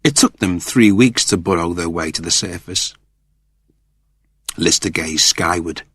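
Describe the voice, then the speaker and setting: Deep-voiced man narrating with theatrical weight. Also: a bit bright, breathy edge, dry recording, studio quality. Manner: theatrical, deliberate storytelling. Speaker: audiobook narrator. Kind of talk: dramatic scripted narration.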